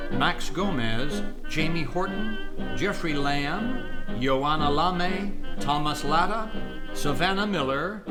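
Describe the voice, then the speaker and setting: A man's voice: on the high side, low-quality recording. A man reading scripted narration and closing credits as the announcer of a radio play.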